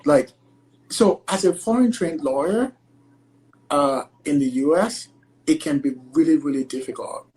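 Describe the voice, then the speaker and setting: Man, thick nigerian accent, young adult, lively chatty interview talk, video call audio. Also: fairly high pitch, a little slow, low-quality recording. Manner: animated, chatty, many fillers like "like" and "you know". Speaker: guest, entertainment lawyer. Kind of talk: casual interview conversation.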